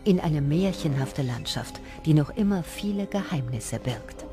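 A woman's voice: sits low, lively intonation, a little slow. A woman reading a scripted introduction as a documentary presenter. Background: background music.

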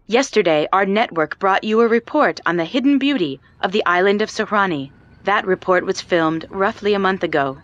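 An actress playing a polished television correspondent, delivering a scripted news report in a composed, formal manner. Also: female voice, mid-range voice, animated, moderate pace, dry recording, highs cut off, close to an everyday voice. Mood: serious.

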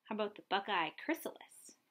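A young adult woman reading aloud and explaining gently, as a teacher does for young children. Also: mid-range voice, sing-song, brisk. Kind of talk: children's read-aloud and lesson. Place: small lamp-lit room at home, indoors.

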